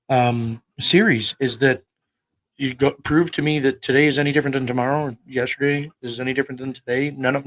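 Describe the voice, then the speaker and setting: A man's voice: medium pitch, a bit bright, poor audio. Combative profane preacher in his thirties. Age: 30s. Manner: combative, profane, rhetorical questions. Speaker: online preacher. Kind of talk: livestream talk answering chat.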